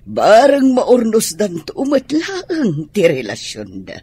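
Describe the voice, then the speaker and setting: A woman's voice: mid-range voice, huge pitch swings, takes it slowly, warm tone, breathy edge, no room sound, full character voice. An actress playing a friendly mother in a radio drama, sociable and welcoming, with an Ilocano accent. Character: Marjo, a warm mother hosting a birthday.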